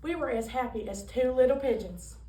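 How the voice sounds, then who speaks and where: A woman voicing a cheeky, romantic ninety-two-year-old tap dancer in a comic stage monologue, telling her story with theatrical, drawn-out punchlines. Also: high voice, dark tone, natural voice. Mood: fond, showy. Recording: roomy, distant microphone, faint noise.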